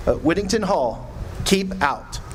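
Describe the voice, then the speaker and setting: Man acting as courteous radio-play sleuth, lively swooping intonation, hesitant starts, clearly noisy live recording. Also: high-pitched, measured pace. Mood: composed, cautious. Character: gentleman sleuth, composed but urgent in danger. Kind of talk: old-time radio drama acting. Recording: noisy live cafe stage performance.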